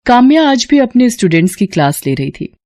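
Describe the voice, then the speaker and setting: A woman narrating a scripted bedtime story in a steady, even manner. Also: on the high side, a little fast, no room sound, clean studio sound.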